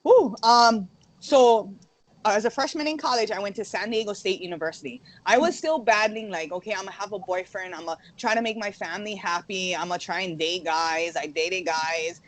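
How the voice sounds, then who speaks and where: A woman's voice: medium pitch, normal speed. A young woman from Hawaii, a guest in a casual interview, who laughs often and fills her talk with "like". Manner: laughs often, says "like" a lot.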